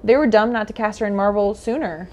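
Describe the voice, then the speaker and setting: A woman's voice: mid-range voice, quick pace, darkish tone. An American woman co-hosting a podcast, chatting in an informal, effusive way. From United States. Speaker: podcast co-host. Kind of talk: casual chat about superhero movies.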